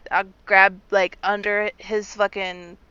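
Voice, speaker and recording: A woman in a tabletop game who talks fast and excitedly, swearing freely. Her voice is bright, forceful and crisp, and it sounds dull and lo-fi. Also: mid-range voice, dry recording. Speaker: player. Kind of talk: playing a tabletop game, casual chat.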